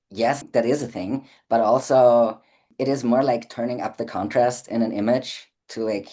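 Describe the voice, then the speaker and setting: An eager host from Austria leads a casual chat and asks long, winding questions. The voice has a dark, mellow tone. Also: mid-range voice. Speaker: podcast host.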